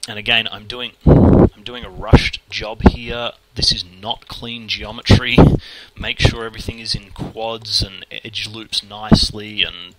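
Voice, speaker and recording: A man with an Australian accent talking fast and casually through a screen-recorded modelling tutorial, muttering at the software as he works. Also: fairly low pitch, little pitch movement, heavy background noise. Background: keyboard typing and mouse clicks.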